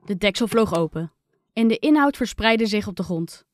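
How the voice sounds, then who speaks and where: A woman reading a scripted first-person children's story with expressive, dramatic delivery. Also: mid-range voice, normal speed, slight background noise. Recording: music under the voice.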